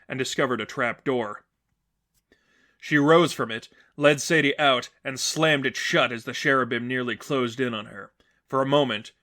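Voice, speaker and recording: A man's voice: mid-range voice, bright, forceful tone. A man reading scripted fiction aloud as a narrator, in a dramatic storytelling style.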